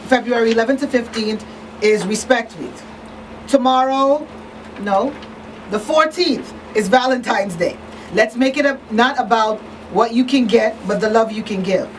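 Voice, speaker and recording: A woman's voice: a little high, moderate pace. A woman presenting a live talk show, partly from a script, often repeating words for emphasis. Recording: slightly distant, faint background noise.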